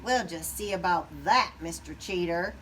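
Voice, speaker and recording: A woman voicing an excitable, indignant female turkey in a children's storybook, speaking emphatically. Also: fairly low pitch, normal speed, a little hiss or hum, lightly acted. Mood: defiant. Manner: emphatic, exclaiming.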